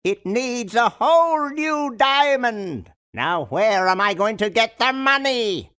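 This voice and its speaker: An actor playing a cranky, melodramatic old mad scientist in a cartoon game. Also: male voice, very high voice, lively intonation, slow, sharp tone, smooth, heavily acted. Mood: gloomy. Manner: melodramatic, grumbling.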